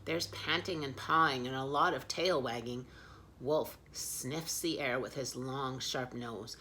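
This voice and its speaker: A woman's voice: extremely low pitch, a little slow, sharp tone, a little hiss or hum. A woman reading picture books aloud expressively as a children's storyteller.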